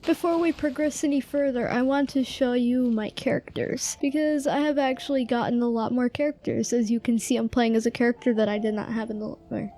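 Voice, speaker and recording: A woman's voice: a little high, fairly even intonation, a little fast, hardly any pauses, warm tone. A tired-sounding woman hosting a gaming video, commenting casually and informally with wry asides as she reacts. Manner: informal, wry asides, echoes game lines. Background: game music.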